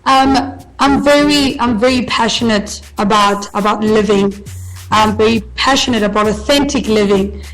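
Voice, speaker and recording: A woman's voice: fairly high pitch, normal speed, fairly bright tone, faint music behind, poor audio. A woman, a radio broadcaster giving an earnest, motivational interview and repeating her key phrases for emphasis. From South Africa. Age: 20s.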